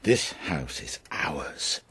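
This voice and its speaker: A man's voice: extremely slow, bright, forceful tone. An actor playing a stubborn, proud older English farmer and father in a naturalistic radio soap. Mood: bitter, stubborn. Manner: theatrical, sardonic, sometimes pleading.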